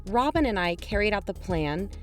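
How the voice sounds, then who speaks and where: An actress playing an earnest young amateur investigator, co-hosting a scripted podcast drama. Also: female voice, mid-range voice, big pitch swings, normal speed, lightly acted. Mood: earnest, measured. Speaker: podcast co-host. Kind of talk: scripted audio drama narration and dialogue. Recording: music under the voice.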